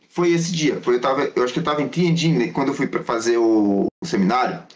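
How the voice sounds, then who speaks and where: A man, a Mandarin school teacher and guest, answering casually and rambling through anecdotes. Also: mid-range voice. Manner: rambling, storytelling, self-correcting. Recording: dull lo-fi audio.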